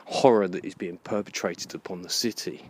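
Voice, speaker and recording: A man thinking aloud in a halting, musing way, rambling like a vlogger on a walk. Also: low voice. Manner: musing, halting, pausing between phrases. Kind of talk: rambling spoken-thought walking vlog about books. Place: outdoors, walking in a park. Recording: outdoor walking recording with clear background noise.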